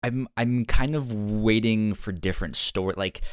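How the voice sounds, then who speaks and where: A man's voice: lowish voice, normal speed, clean tone, no echo. A man hosting a review podcast in casual conversation, stammering and often repeating sounds and words as he goes. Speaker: podcast host.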